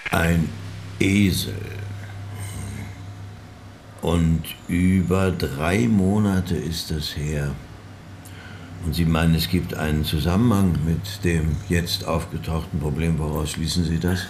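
A man's voice: rumbling low, steady tone, takes it slowly, pauses often, darkish tone, slight background noise, full character voice. A man playing a sceptical investigator in a radio drama, asking terse, probing questions. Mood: dry probing. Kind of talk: German radio drama acting.

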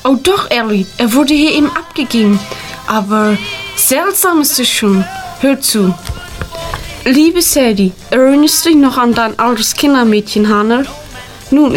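Woman acting schoolgirl, earnest, resolute, fairly high-pitched, radio drama. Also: lively intonation, moderate pace, faint music behind, close to an everyday voice, rough recording. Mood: thoughtful, reading aloud. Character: earnest, determined boarding school girl. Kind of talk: German radio play acting.

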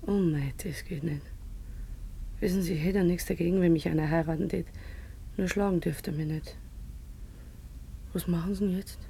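A streetwise woman with a very creaky, dark voice. She chats in a confiding, matter-of-fact radio drama manner over a low rumble. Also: fairly even intonation, moderate pace, long pauses. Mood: worldly, casual. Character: streetwise, chatty woman, worldly and down on her luck. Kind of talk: naturalistic radio drama acting.

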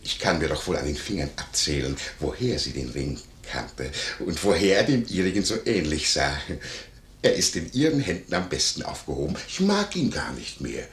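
An actor playing a nosy, greedy, fawning innkeeper with an ingratiating, wheedling manner, in a classical comedy radio play. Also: male voice, very deep voice, big pitch swings, moderate pace. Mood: wheedling, sly. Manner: ingratiating, wheedling, over-protesting. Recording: roomy vintage 1950s radio recording.

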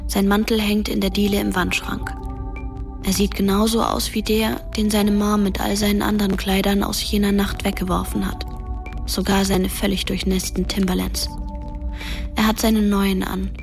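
A woman as a ghostly teenage girl narrating a radio drama. She speaks softly and deliberately, with breathy intimacy. Music runs underneath her voice. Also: mid-range voice, flat delivery, natural voice. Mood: quiet, intimate.

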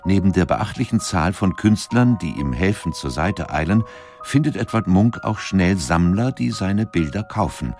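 A man reading scripted documentary narration in a measured, articulate storytelling manner. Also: deep voice, lively intonation, a little mellow, faint music behind.

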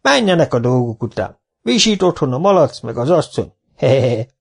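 A man's voice: medium pitch, big pitch swings, vocal fry, breathy edge, voice pushed up, exaggerated voice. A man voicing a cocky young foreman who barks at the crowd and struts about. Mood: bullying. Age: young adult. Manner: blustering, strutting.

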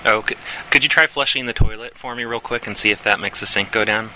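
A man making a prank phone call as a hotel worker, deadpan and mock-helpful, sometimes turning indignant. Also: fairly low pitch, quick pace. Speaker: prank caller posing as hotel housekeeping. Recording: noisy phone line.

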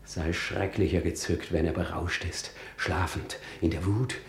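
An actor playing a bitter, resolute, vengeful prince in a radio tragedy, declaiming his monologue deliberately. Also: low-pitched, fairly even intonation, vocal fry, echoey room, a little hiss or hum. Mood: vengeful, brooding. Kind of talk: classical stage tragedy radio acting.

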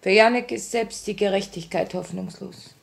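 An actress playing a grave, sorrowful woman revolutionary, tender yet resolute, with solemn, measured delivery in 1950s German radio drama. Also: mid-range voice, gravelly. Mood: grave, restrained.

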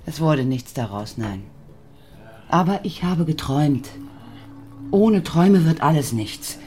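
Radio play mom, middle-aged waitress, dreamy and naggy, deep voice, really swoopy delivery. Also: female voice, animated, a little slow, warm tone, faint music behind. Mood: wistful, brooding. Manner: theatrical, swings between nagging and wistful. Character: boy's dreamy, scolding waitress mother. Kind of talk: German radio drama acting.

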